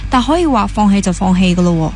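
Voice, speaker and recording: A woman's voice: medium pitch, animated, fast, darkish tone, a little hiss or hum, natural voice. A young woman with a Hong Kong Cantonese accent playing a frank, bantering dancer in a naturalistic radio drama. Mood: questioning. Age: young adult.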